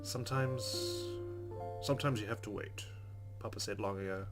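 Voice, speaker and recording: Male let's-play narrator, slightly low voice, expressive dramatic reading of game text with music behind. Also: normal speed.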